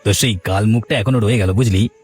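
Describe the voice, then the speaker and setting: A man's voice: lowish voice, fast talker, a little mellow, slightly rough, soft background music. A man voicing a good-natured village working man in a cartoon, familiar and plain-spoken. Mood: playful. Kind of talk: cartoon dialogue.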